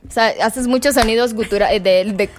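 A woman's voice: on the high side, a little fast, slight background noise. A woman from Mexico, an actress, chatting expressively as a guest on a show. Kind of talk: casual chat.

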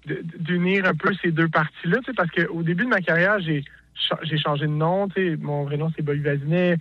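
A man's voice: a little high, fairly even intonation, brisk, no room sound. Hesitant Québécois man on a dull line. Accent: Québécois French. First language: French. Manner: hesitant, many restarts and fillers. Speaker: singer-songwriter guest. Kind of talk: casual interview.

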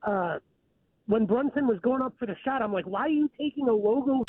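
A man's voice: very high-pitched, fairly quick, breathy edge. A man calling into a sports radio show, rambling and hesitant with lots of fillers. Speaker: caller. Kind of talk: phone-in chat. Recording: tinny phone line.

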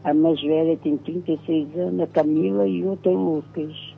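A middle-aged woman calls in to a radio program, hesitant and pleading, often repeating herself. Her voice is gravelly and reaches the studio through a thin, phone-like line. Faint music plays behind her. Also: low voice, steady tone, normal speed. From Rio de Janeiro state, Brazil. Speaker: caller. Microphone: phone call.

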